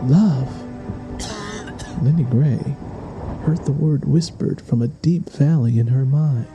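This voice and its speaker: A man's voice: mid-range voice, measured pace, lo-fi sound, over music. A man reading a scripted, dramatic story as its narrator. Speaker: audiobook narrator.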